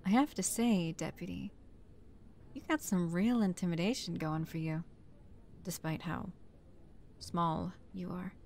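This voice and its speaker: A tough, commanding female chief detective played by a woman in an audio drama, with a slightly low voice. She talks brusquely and sardonically, like a relentless interrogator, over clearly audible background noise. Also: a little slow, long pauses, darkish tone. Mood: musing, relaxed. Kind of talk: scripted audio roleplay acting.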